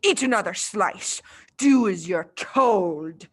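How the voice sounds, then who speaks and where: A woman voicing a furious, tyrannical headmistress in a storybook reading, shouting and barking orders. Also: mid-range voice, animated, takes it slowly, slightly rough. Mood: menacing, commanding.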